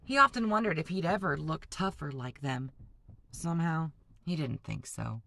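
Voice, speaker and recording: A woman teacher reading a novel aloud to students, pausing to explain and ask them to picture things. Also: sits low, expressive, vocal fry, sharp tone. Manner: patient, explanatory, addresses students directly. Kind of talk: classroom read-aloud with discussion breaks.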